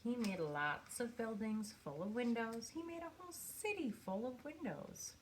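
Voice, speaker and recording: A woman reading a children's picture book aloud in an expressive storytelling manner, with pauses for effect. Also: a little high, noisy background. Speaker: storybook reader.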